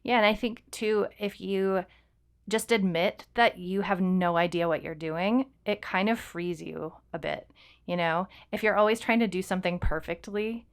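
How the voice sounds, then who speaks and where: A woman's voice: mid-range voice, moderate pace, clean tone. A woman co-hosting a podcast, talking casually and explaining things in an informal way. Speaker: podcast co-host and fiction writer.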